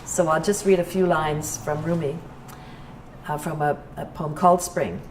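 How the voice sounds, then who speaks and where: An older woman from Edmonton, giving thoughtful, unhurried interview answers as an arts venue owner. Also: low voice, steady tone, darkish tone, a little hiss or hum. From Edmonton, Canada. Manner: thoughtful, unhurried, searching for words. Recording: roomy sound, distant mic, background music.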